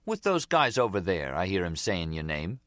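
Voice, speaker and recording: A man's voice: sits low, sing-song, normal speed, fairly bright tone, no echo, clean studio sound. A man voicing an eager, resentful young mob underling in an audiobook, whiny and insistent, with street-tough grammar. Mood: complaining.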